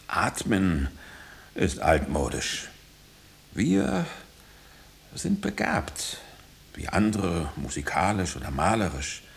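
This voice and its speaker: Radio drama: haunted engineered man, low register, very animated, urgent, fractured phrasing. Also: gravelly, heavily acted. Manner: halting, insistent, breaking off sentences. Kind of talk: German radio drama acting.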